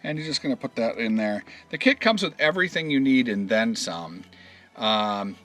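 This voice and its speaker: A man giving a casual step-by-step installation tutorial, explaining in a halting way and often trailing off. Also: medium pitch, lively intonation, a bit bright, soft background music. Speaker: product installation instructor.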